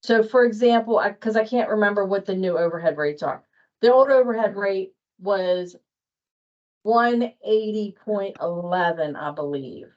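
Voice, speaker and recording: A woman's voice: medium pitch, lo-fi sound. A woman giving an informal spoken explanation as presenter at a staff training.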